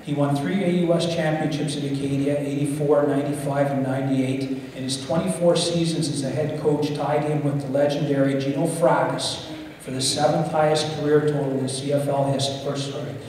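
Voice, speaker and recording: A man's voice: a little high, level tone, moderate pace, hardly any pauses, a little mellow, slight background noise. A middle-aged man, an athletic director, reads a formal induction citation at a lectern on a theatre stage, stumbling and correcting himself now and then. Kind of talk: formal speech read at a lectern. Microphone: lectern mic on a stand. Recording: echoey hall, mic heard from a distance.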